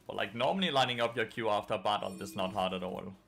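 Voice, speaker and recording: Bright-voiced Danish man streaming a game. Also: lowish voice, fast talker, vocal fry, soft background music. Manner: casual, swears, answers chat between plays. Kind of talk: casual gameplay commentary.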